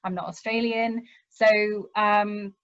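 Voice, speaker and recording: A woman's voice: medium pitch, steady tone, slow, dry recording. A British woman in her thirties, a university lecturer, presenting slides on a webinar panel with frequent ums. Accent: British English. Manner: hesitant, many ums, self-deprecating. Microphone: video call. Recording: dry, slightly dull video-call audio.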